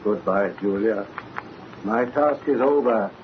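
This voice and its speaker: A muffled, hissy old radio recording carries the ghost of an older father. He speaks slowly and solemnly, with eerie authority, drawing out his words. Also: fairly low pitch. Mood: solemn farewell. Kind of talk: 1930s radio horror acting.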